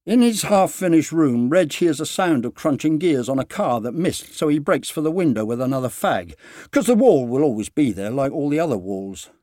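A man's voice: a little high, very animated, normal speed, mellow, dry recording. Man reading a story, dry sound. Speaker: story reader. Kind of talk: dramatised literary narration.

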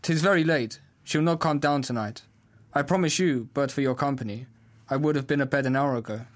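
A man's voice: medium pitch, a little fast, close to an everyday voice. A man playing a domineering, hot-tempered noble father, commanding and declamatory, in a Shakespeare audio drama. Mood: weary, genial.

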